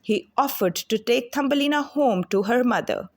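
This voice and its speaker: Female storyteller for children's read-aloud. Animated, deliberate delivery, slightly high register. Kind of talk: scripted children's fairy tale read-aloud.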